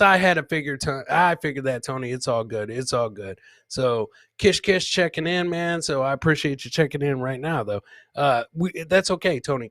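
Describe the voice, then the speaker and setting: A man's voice: on the high side, fairly bright tone, no echo, clean studio sound. A man hosting a live comedy podcast, jokey and informal, repeating his phrases as he reacts to clips and chat. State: several beers in. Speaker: host.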